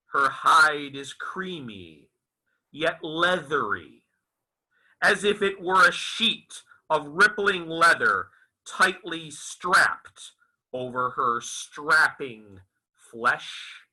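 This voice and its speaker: A man delivers a dramatic audiobook reading in a fairly high voice that swings expressively up and down. His phrasing is deliberate and broken into short, emphatic pieces, and he moves at a very slow pace. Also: animated, bright, forceful tone, breathy edge, low-quality recording. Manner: theatrical, deliberate, halting phrasing with emphatic enunciation. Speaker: author reading his own novel aloud. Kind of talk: dramatic literary audiobook recitation.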